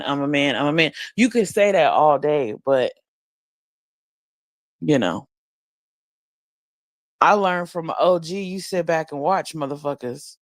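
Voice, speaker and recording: A woman hosts a late-night stream, speaking slowly and bluntly with plenty of profanity. She is high and drifts through her thoughts with restarts and pauses. The sound is dry and close, with no room around it. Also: no echo. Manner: blunt, profane, slow and musing with frequent restarts. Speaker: livestream host. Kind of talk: late-night casual commentary. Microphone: streaming mic.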